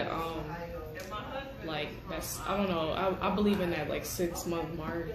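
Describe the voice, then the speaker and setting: A woman's voice: on the low side, fairly even intonation, measured pace. A twenty-year-old woman chatting candidly in a casual group discussion. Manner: chatty, candid, laughs easily. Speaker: youth participant. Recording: echoey room with loud crowd.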